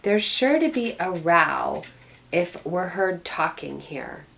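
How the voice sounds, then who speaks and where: Shy little storybook girl, a bit nervous, as a woman reads her, only slightly higher. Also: sing-song, slow, creaky, fairly bright tone, full character voice. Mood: worried. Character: timid young English schoolgirl, youngest sister.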